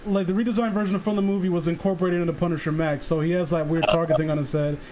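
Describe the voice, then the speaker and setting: A man hosting a casual livestream chat, rambling excitedly and swearing freely like an enthusiastic fan. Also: a little high, racing, over a noisy crowd. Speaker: livestream host. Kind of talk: casual chat about comic book characters.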